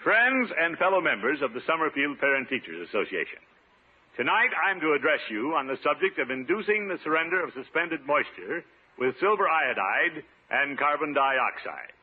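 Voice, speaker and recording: Pompous, swooping small-town official. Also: male voice, on the high side, very animated, normal speed, slightly rough. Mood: smug. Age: middle-aged. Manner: pompous, chuckling, theatrical. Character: pompous, self-satisfied middle-aged small-town water commissioner. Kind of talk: 1950s radio situation comedy acting. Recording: noisy, narrow-band 1950s radio recording.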